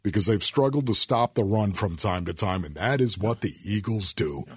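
A man co-hosting sports talk radio with a husky voice and a folksy, exclamatory, repetitive emphasis. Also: fairly low pitch, lively intonation, moderate pace, no echo. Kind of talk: football analysis talk.

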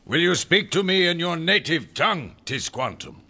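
An actor playing a grand, commanding Native chief who narrates in a stately, dramatic way in a radio drama. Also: male voice, on the high side, sing-song, moderate pace, sharp tone, full character voice. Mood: commanding, questioning.

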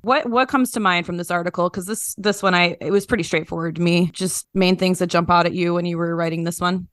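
Low-pitched woman hosting an interview, dry sound. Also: fairly even intonation, brisk, fairly bright tone, no echo. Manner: informal, conversational questioning. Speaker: podcast host interviewing journalists. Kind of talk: casual interview.

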